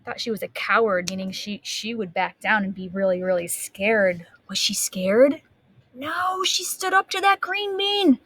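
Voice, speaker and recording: A woman reads a children's story aloud like a kindergarten teacher. She takes her time, stresses words dramatically and asks the listeners questions. The sound is dry, with a medium-pitched voice. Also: no room sound. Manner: expressive, dramatic gasps and emphatic drawn-out words.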